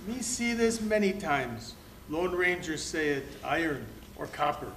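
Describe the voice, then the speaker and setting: A man playing a calm, loyal cowboy's sidekick in clipped broken English for an amateur radio play. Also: on the high side, measured pace. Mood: doubtful, sorry. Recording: echoey room with faint noise.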